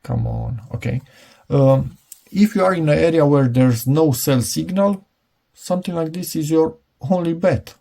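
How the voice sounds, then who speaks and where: A man's voice: on the high side, unhurried, creaky, dark tone, slight background noise. A middle-aged man giving a casual, unscripted product demonstration, hesitant and rambling as he thinks aloud. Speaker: product reviewer.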